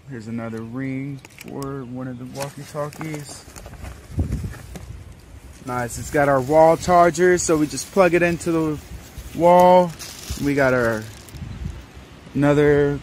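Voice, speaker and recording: Slow-paced man doing a casual outdoor unboxing. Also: fairly high pitch. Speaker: product reviewer. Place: outdoors, wooded yard. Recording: faint outdoor background noise.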